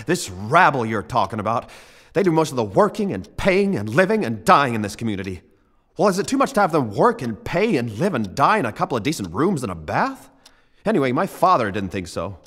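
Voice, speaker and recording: Man acting an impassioned young man, swinging pitch. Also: a bit bright. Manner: earnest, stammering, emphatic. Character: earnest, impassioned young man defending ordinary townsfolk. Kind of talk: theatrical radio play acting.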